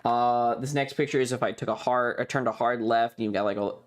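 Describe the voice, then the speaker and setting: A man's voice: medium pitch, fairly even intonation, a little fast. A man talking casually as a streamer, describing things in an offhand, rambling, informal way with some swearing. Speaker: streamer and host. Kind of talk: casual livestream chat, describing travel photos.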